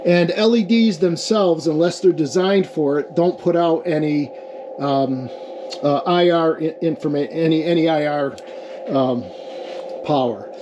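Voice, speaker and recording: Male hobbyist demonstrator, slightly high voice, informal and explanatory, with clear background noise on the recording. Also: a little slow, darkish tone, low-quality recording. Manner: explanatory, checks in with "okay" and "right". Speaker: amateur radio experimenter demonstrating equipment. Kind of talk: informal hands-on technical demonstration.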